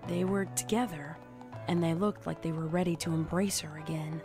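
Woman, scripted dark narration, slightly low, dramatic storytelling, music bed. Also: fairly even intonation, moderate pace. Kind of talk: dramatic scripted narration of horror fan fiction.

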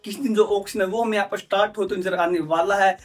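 A man's voice: sits high, steady tone, fast talker, soft background music. A man, presenting a sports update to camera and addressing viewers as friends. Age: 20s.

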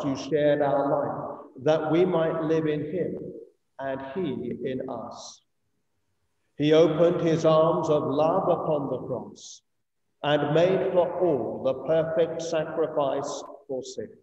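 A middle-aged English man recites a communion prayer as a priest, solemn and deliberate. His voice is fairly high and mellow. It rings through a heavily echoing church. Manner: solemn, measured, deliberate phrasing. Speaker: priest leading communion service. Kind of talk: liturgical recitation of a eucharistic prayer. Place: inside a church, streamed over video call. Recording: echoey church, distant mic, muffled video-call sound.

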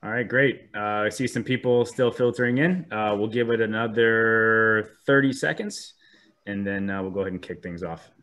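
A man's voice: fairly low pitch, normal speed, a bit bright. Sniffly man hosting a webinar. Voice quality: filler-heavy, frequent ums and restarts. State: sniffly from a cold. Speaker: 3D printing specialist presenting a webinar. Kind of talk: webinar presentation. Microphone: video call.

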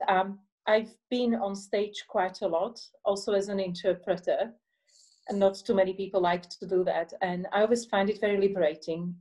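A middle-aged woman sharing her experience on a video call from her living room, measured and articulate. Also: lowish voice, darkish tone, poor audio. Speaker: festival participant.

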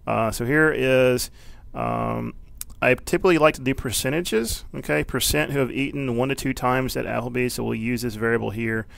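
A man giving a casual, unscripted software tutorial, explaining step by step and thinking aloud as he goes. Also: mid-range voice, moderate pace, no echo. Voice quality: gravelly, creaky. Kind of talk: informal, unscripted screencast walkthrough.

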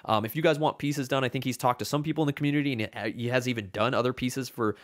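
Excitable man co-hosting a game stream. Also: mid-range voice, very fast. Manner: excitable, chatty, laughs often. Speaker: co-host of a board game stream. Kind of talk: casual live-stream chat. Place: home room. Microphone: webcam video call.

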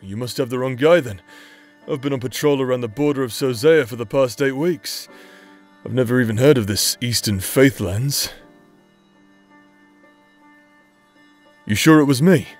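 A man's voice: medium pitch, moderate pace, lightly acted. A man playing a brash, teasing knight captain, a loyal younger brother, in an anime-style romance audio drama, talking in an informal, playfully sarcastic way. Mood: frank, reassuring. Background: background music.

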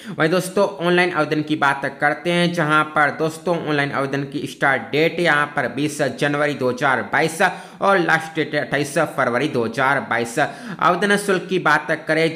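A man presents information in an explainer style. His voice sits a little high and has a bright, forceful edge. He addresses his audience as friends and falls back on the same filler phrases as he goes. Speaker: presenter of job recruitment updates. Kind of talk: informational explainer narration of a notice.

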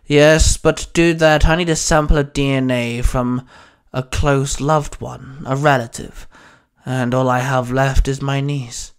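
A man voicing a stern, stubborn sorcerer under a curse in a narrated story, grunting out drawn-out words. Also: mid-range voice, steady tone, clear, resonant tone, close to an everyday voice. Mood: resigned, sad.

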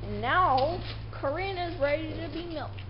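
Young woman explaining farm chores on camera, highish voice, muffled sound, loud machines going in the barn. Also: expressive, a little slow. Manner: plain step-by-step explaining, a little unsure at times. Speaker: farm vlogger explaining milking. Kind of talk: casual explanatory narration. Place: indoor dairy barn. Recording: dull lo-fi camera audio with loud barn noise.

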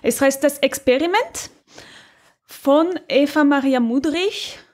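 A woman co-hosting a podcast, chatting in a relaxed, conversational way. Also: sits high, slow. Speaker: podcast co-host. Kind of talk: casual chat.

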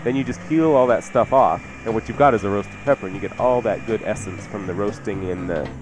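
A man's voice: on the low side, fairly quick, background noise. An American man hosting a radio cooking show, chatting casually and explaining things in an informal, bantering way. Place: radio studio. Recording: radio broadcast with music behind. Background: music.

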